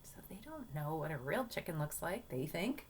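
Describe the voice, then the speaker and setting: A woman's voice: deep voice, very animated, normal speed, a little hiss or hum. A woman teacher reading a storybook to children expressively and explaining it in simple phrases.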